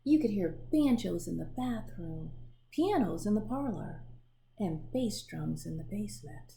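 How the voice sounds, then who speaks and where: Slow, mellow woman reading a storybook. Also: mid-range voice, gravelly. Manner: expressive storytelling with pauses to show the pictures. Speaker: storybook reader. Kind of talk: children's picture book read aloud.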